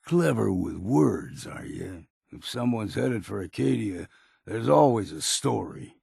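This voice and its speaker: An actor playing a gruff, weary old hunter in a video game, blunt and proud, with a gravelly, husky voice and a folksy, rustic drawl. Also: male voice, fairly low pitch, expressive, a little slow, a little mellow, dry recording, studio quality, full character voice. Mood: wary, measured. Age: older. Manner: folksy, plain-spoken drawl with rustic grammar. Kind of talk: video game character dialogue.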